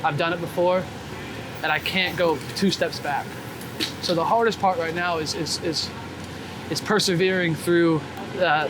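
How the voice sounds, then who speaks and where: A man being interviewed as a street photographer, talking casually and candidly with swearing and frequent filler words like "man". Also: high-pitched. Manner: informal, profane, peppered with "like" and "man". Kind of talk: casual, candid interview chat while walking. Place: outdoors on a city street. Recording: clear outdoor traffic and city noise.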